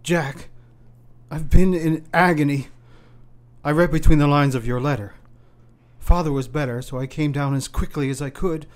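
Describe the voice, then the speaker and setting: Guy as a worried young gentleman in love, radio drama, earnest, kinda high voice. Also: steady tone, moderate pace, lightly acted. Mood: anxious, courteous. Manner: earnest, courteous, fervent. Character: anxious, devoted young gentleman lover, a man. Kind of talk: radio play acting.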